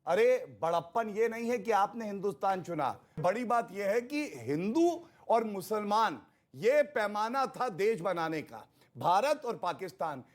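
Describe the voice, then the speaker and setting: A man's voice: high-pitched, sing-song, unhurried. A man arguing in a heated TV debate as a panelist, combative and rhetorical, repeating himself for emphasis.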